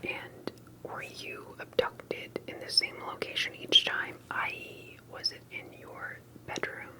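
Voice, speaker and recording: ASMR interviewer role: soft breathy whisper throughout, earnest, careful researcher asking slow, deliberate questions. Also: very high-pitched, monotone, rough recording. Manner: hushed, deliberate, halting questioning. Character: earnest, cautious alien abduction researcher and survivor. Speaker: ASMR performer playing an interviewer.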